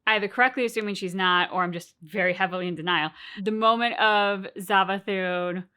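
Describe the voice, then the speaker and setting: A woman co-hosting a game reaction video, talking in an animated, rambling way with many restarts. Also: medium pitch, a little fast, clean tone. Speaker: co-host of a game reaction channel. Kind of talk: casual reaction chat.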